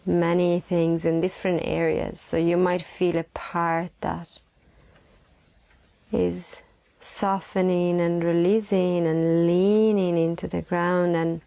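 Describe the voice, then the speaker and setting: Woman, meditation guide, very slow pacing, mellow tone, soft and soothing, frequent pauses. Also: on the low side, level tone, vocal fry, no echo. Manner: soothing, slow, with long pauses and repeated phrases.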